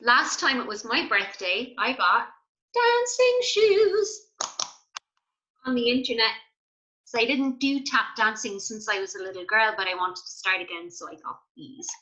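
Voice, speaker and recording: A woman with a high, bright voice reads a children's story at an unhurried pace. She is a teacher talking to young children, animated and full of chatty asides and questions. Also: dull audio. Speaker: primary school teacher reading a story aloud.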